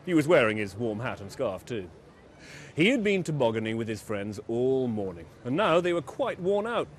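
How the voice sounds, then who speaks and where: A man telling a children's bedtime story in an expressive, dramatic way, leaning on key words. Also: medium pitch, moderate pace.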